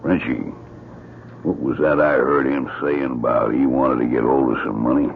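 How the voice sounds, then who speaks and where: An actor playing a big, rough, sly lumberjack bully with a gravelly voice and a rustic drawl in an old radio drama. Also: male voice, a bass voice, little pitch movement, normal speed. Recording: dull, noisy old radio recording.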